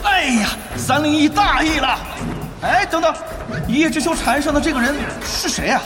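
Excitable high-voiced esports commentator. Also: male voice, normal speed, reverberant, over music. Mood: thrilled, urgent. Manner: emphatic, exclamatory, building to shouts. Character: excitable male esports play-by-play commentator, keen and dramatic. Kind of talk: live sports commentary in an audio drama.

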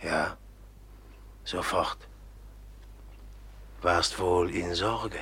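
An actor in a 1950s radio play voicing a brooding, grim young man who speaks slowly and heavily. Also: low voice, sharp tone, breathy edge, heavily acted. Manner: slow, heavy, brooding. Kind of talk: 1950s radio drama acting.

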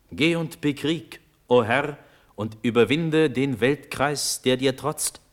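An actor playing a proud, fervent young condemned prince in a classical verse radio drama, declaiming with a husky voice. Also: male voice, lowish voice, full character voice. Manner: declamatory, swinging from hushed to shouting.

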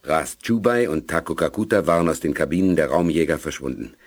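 A man's voice: rumbling low, brisk. A man narrating a science fiction radio play in a scripted, sober manner, with music under his voice. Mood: measured. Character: sober narrator of a space adventure.

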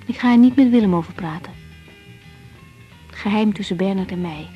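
Lead female doctor, radio drama actress: young, mid-pitched, caring, reflective, gently hesitant. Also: normal speed, muffled recording, soft background music, natural voice. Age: young adult. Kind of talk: radio drama dialogue and diary-like narration.

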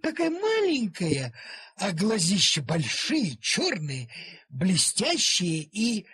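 A man's voice: high-pitched, wildly swooping pitch, slow, sharp tone. A man narrating a story expressively, in a theatrical way, drawing out key words.

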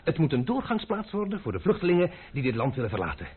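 A man's voice: on the high side, huge pitch swings, quick pace, husky, full character voice. An actor playing a grumbling, hot-tempered man in love in an old radio play, cursing and exclaiming theatrically. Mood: boisterous. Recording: narrow-band old radio recording with hiss.

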